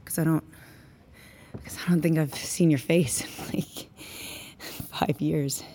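An actress playing a flustered, guarded and blunt employee in a naturalistic audio drama, stammering and awkwardly apologetic. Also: female voice, low-pitched, steady tone, a little slow, warm tone, slight background noise.

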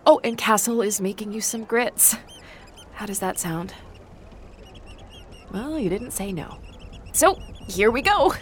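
A woman's voice: mid-range voice, animated, unhurried, long pauses, slight background noise, close to an everyday voice. An actress playing a weary, sarcastic but protective adventurer woman in an audio drama. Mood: gentle. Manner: dry, sardonic, tender with loved ones.

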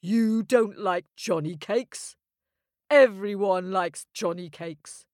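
A woman's voice: medium pitch, slow pace, darkish tone, no echo, studio quality, natural voice. A woman voicing a huge, tricky two-headed male giant who roars and bellows. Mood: booming, wheedling. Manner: roaring, bellowing.

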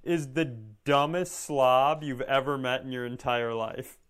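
A man's voice: mid-range voice, fairly even intonation, unhurried, smooth. A man co-hosting a comedy podcast in casual banter, with a sardonic, blunt, profane way of talking. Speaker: podcast co-host.

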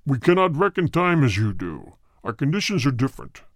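A man acts out a spirit of the dead from a ghost story, deep and cold. The delivery is grave and formal, each phrase measured and hard. The pitch still swings within the solemn lines, and the sound is dry, with no room around it. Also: very animated, no room sound, close to an everyday voice. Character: solemn spirit of the dead speaking through a medium.

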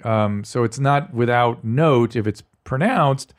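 A man's voice: mid-range voice, slow. A man hosting a podcast in casual chat, frank and joking, laughing between points. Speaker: podcast host.

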